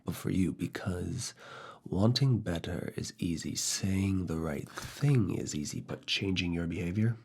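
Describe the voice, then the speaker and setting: A man playing a firm, teasing, quietly seductive university professor in soft, intimate ASMR roleplay, speaking deliberately in a husky, breathy voice with whispered phrases. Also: full character voice. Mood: firm, instructive. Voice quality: husky, breathy, low gravelly voice. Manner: slow, deliberate, pauses between short phrases, slipping into whispers.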